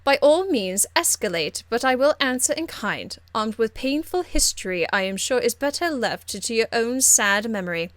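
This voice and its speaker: A woman's voice: fairly high pitch, expressive, moderate pace, hardly any pauses, a bit bright, no echo. Haughty noblewoman mage for a fantasy game played by a woman: formal, condescending, icily courteous, dry close recording. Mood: poised menace. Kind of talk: video game character acting.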